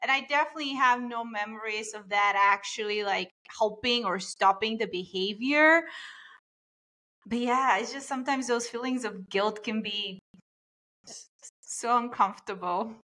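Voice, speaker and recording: A woman from Bulgaria co-hosts a podcast, musing aloud in a reflective way that trails off. Her voice is bright and sharp, a touch high for a woman. She thinks back as she talks. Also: moderate pace. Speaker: podcast co-host. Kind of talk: podcast conversation.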